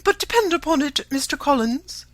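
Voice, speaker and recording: Shrill, flustered matron. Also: animated, normal speed, a bit bright, dry recording. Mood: insistent, indignant. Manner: shrill, exclaiming, insistent. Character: fussy, flustered, nervous middle-aged English matron mother. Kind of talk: audiobook character voice.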